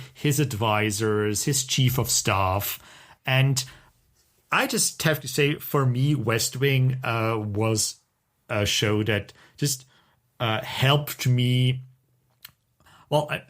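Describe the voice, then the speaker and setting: A man's voice: medium pitch, a bit bright. Casual, rambling male podcast host. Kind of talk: casual review chat.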